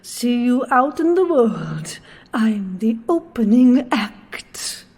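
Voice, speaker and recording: A woman's voice: on the high side, very animated, slow, a little mellow, husky, heavily acted. A woman playing a teasing, maternal prima donna of a castle show in a comedic audio drama. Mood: playful. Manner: languid, teasing, grandly theatrical. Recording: long reverberant castle hall sound.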